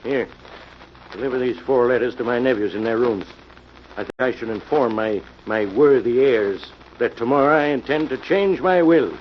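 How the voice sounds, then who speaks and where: An actor playing an irascible, suspicious old sea captain in a radio mystery, domineering and scolding. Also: male voice, mid-range voice, moderate pace, natural voice. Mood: stern, accusing. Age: older. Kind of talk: 1940s radio mystery acting. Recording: dull, noisy old radio recording.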